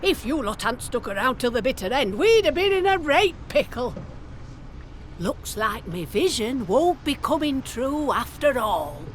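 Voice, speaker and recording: A woman's voice: sits high, very animated, measured pace, a little hiss or hum. A woman voicing a spirited, blunt and cheeky village medicine woman in a video game, with a Northern English accent and a theatrical, folksy delivery. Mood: relieved, amused.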